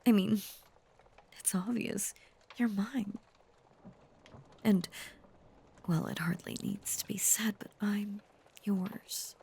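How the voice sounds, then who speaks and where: Slow, breathy, possessive werewolf woman, acted by a woman. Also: medium pitch, fairly even intonation, mellow, full character voice. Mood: devoted, possessive. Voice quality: breathy, often whispered. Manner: slow, halting, intense confiding delivery. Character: obsessive, possessive female werewolf lover, tender yet menacing. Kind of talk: intimate audio roleplay monologue.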